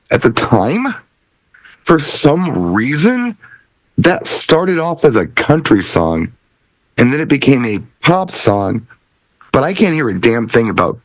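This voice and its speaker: A middle-aged man hosting a casual talk show, telling things in a rambling, animated way. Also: medium pitch, no room sound, low-quality recording. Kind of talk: casual chat.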